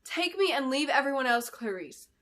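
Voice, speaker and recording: A defiant noblewoman, played by a woman reading a story, with a bright, raised voice. She pleads urgently and firmly in a fantasy tale. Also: sits high, big pitch swings, normal speed, pitched above the performer's own voice.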